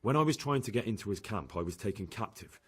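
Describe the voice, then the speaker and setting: A man's voice: on the low side, animated, fast, a bit bright, slightly rough. An actor playing an earnest young man in a video game cutscene, a captive turned messenger. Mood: earnest, grave.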